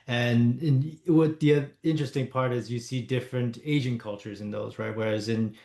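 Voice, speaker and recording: A man's voice: medium pitch, fairly even intonation, moderate pace, dark tone. A man from Toronto giving a personal account as a parent panelist. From Toronto, Canada. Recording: roomy, echoey event space.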